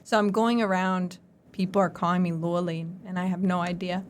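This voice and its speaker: Lively young American woman, low voice. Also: normal speed, a little hiss or hum. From United States. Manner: lively storyteller, many "like" fillers. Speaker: podcast host. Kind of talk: casual chat.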